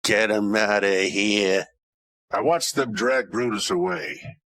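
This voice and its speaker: A man playing a hardboiled, wisecracking detective of ancient Rome in an old-time radio parody, with deadpan tough-guy delivery. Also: lowish voice, takes it slowly, no echo, clean studio sound. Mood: wry.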